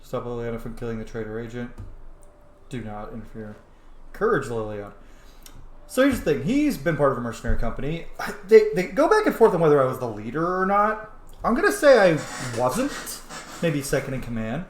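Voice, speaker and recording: Man rambling through game commentary, very animated pitch. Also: brisk. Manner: rambling, thinking aloud. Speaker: gamer roleplaying a video game character. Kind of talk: casual let's play commentary. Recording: roomy echo, faint background noise. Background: video game ambience.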